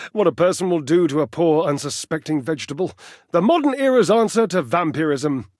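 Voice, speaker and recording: An actor playing a flamboyant, vain fitness enthusiast in a video game, speaking grandly and melodramatically and handing out teasing pet names. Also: male voice, fairly high pitch, lively intonation, normal speed, darkish tone, no room sound, studio quality, close to an everyday voice. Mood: delighted, self-satisfied. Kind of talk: theatrical video game character acting.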